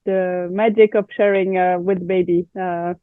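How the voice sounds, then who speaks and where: A woman's voice: on the low side, flat delivery, unhurried, no echo, narrow, tinny audio. A woman from France with a French accent, a native French speaker with a slight cold, talking conversationally as a guest expert in an interview. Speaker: guest expert, baby planner.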